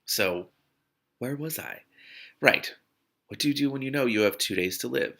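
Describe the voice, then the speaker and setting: A man's voice: mid-range voice, moderate pace, vocal fry, a bit bright. A man hosting a read-aloud, reading a novel expressively and breaking off for enthusiastic asides. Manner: enthusiastic, interjects reactions. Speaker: host of a book read-aloud show. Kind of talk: expressive audiobook-style reading with spontaneous asides.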